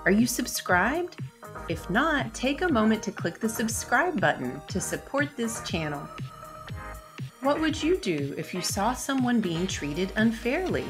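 Lady doing a kids book read aloud, slow and deliberate, really animated pitch, bit of music behind. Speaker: host of children's read-aloud channel. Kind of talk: children's picture book read-aloud, scripted narration.

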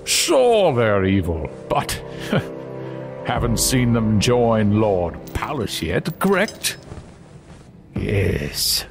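A shrewd old-world dragon counsellor acted by a man in a fantasy audio drama. The voice is low and full of gravel, swooping widely while it weighs each phrase over background music. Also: wildly swooping pitch, heavily acted. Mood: sly, considering. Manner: deliberate, measured, pausing.

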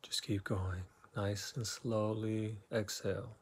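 Male meditation guide, very slow delivery with long pauses, lowish pitch, soothing. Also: level tone, a little hiss or hum.

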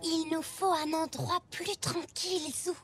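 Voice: very high-pitched, level tone, measured pace, over music. A performer voicing a sweet, helpful young zebra girl in a children's cartoon, with a childlike manner. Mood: friendly. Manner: bright, childlike. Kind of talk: French children's cartoon dialogue.

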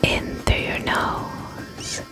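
A woman whispering breathily in a gentle, soothing, reassuring way as a spa attendant in an ASMR personal-attention roleplay. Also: extremely slow, music in the background.

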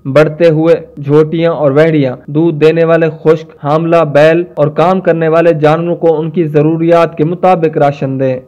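A Pakistani man narrates instructional material in a formal, scripted way. His voice sits a little high for a man and has a soft, mellow tone. The recording is slightly dull and lo-fi. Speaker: narrator of farming advice. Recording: dull, muffled lo-fi voice-over.

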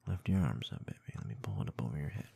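A man performing intimate ASMR roleplay in a soft, breathy, gravelly murmur close to the microphone, with a teasing manner. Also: a bass voice, big pitch swings, slight background noise. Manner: soft, teasing, slow murmuring with small chuckles and hums. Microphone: close binaural microphone.

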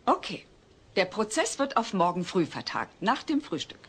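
A firm middle-aged mother playing judge in a comedy radio play, voiced by a woman. She is dry and sceptical, with a medium-pitched, very expressive voice and faint noise behind. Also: normal speed, close to an everyday voice. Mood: brisk, wry. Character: no-nonsense, wry mother presiding as household judge. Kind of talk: German dubbed comedy audio drama.

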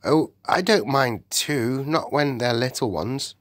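A man's voice: mid-range voice, expressive, slow pace, fairly bright tone, no room sound, clean studio sound, voice pushed up. A man doing a humble, eager old cab horse. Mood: easygoing. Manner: eager, modest, slightly flustered. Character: humble, good-natured old cab horse, now winged.

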